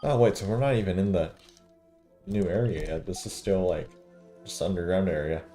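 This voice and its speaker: A man's voice: deep voice, normal speed, dark tone, faint music behind. A man giving casual commentary while he plays through a video game. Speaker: gaming streamer. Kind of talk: casual game playthrough commentary, reading dialogue aloud.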